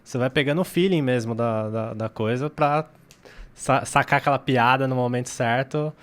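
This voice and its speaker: Brazilian male podcast co-host, mid-pitched. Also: moderate pace. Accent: Brazilian Portuguese. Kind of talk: casual chat.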